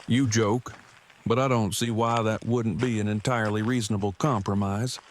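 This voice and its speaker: A man's voice: fairly low pitch, fairly even intonation, moderate pace, a little hiss or hum, close to an everyday voice. An actor playing a nerdy, opinionated man in an audio drama, speaking in wordy, stiffly formal sentences. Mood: earnest, pedantic.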